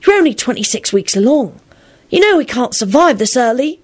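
A woman's voice: high voice, sing-song, fairly quick, breathy edge, pitched above the performer's own voice, heavily acted. A woman voicing a stern, firm old midwife in an audiobook story.

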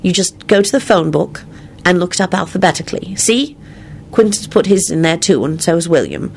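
A patient, teasing woman in a storybook, played by a woman narrator. She sounds amused and instructive, her pitch moving freely, with some fry in the voice. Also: expressive, brisk, darkish tone, a little hiss or hum.

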